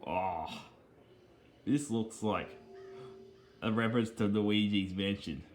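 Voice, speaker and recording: A man giving rambling, casual commentary on video game cutscenes, speaking haltingly with repeated phrases and earnestly explaining small details. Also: lowish voice, a little mellow, slight background noise. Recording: game music behind the voice.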